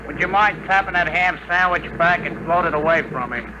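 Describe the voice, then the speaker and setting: A man's voice: a little high, brisk, lightly acted. An actor playing a wisecracking, grumbling working-class rocket radioman with folksy slang, in a 1950s radio drama style on a noisy old broadcast. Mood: wry.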